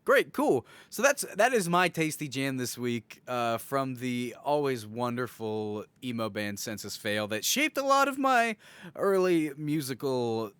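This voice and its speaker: A man's voice: medium pitch, sing-song, measured pace, fairly bright tone, clear, resonant tone, no room sound. A young man hosting a podcast with casual banter and a slangy, sweary way of talking. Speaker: podcast host.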